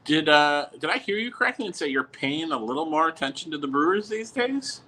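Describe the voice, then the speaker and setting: A man's voice: a little high, big pitch swings, brisk, bright, forceful tone. A man chatting casually as a podcast guest, bantering and repeating himself with mock boastfulness. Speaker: podcast guest who works at a TV station. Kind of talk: casual, bantering sports chat.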